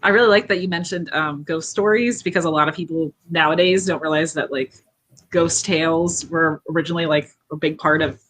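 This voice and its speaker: A woman's voice: low voice, fairly even intonation, a little fast, fairly bright tone. A woman chatting on a video call from home with lots of filler words. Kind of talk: casual video call chat.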